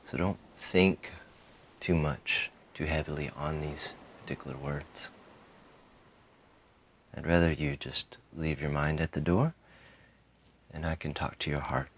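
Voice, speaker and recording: A man guiding a meditation in a calm, soothing manner, giving soft instructions in short phrases with frequent pauses. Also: a bass voice, a little hiss or hum. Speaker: meditation guide. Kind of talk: guided meditation, softly spoken instruction.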